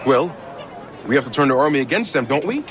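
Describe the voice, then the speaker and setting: A man's voice: mid-range voice, very animated, fast, fairly bright tone. A man playing a sly, playful crook and schemer in a video game. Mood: sly, brisk. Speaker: game character actor. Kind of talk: cinematic video game acting. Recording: noisy outdoor game ambience.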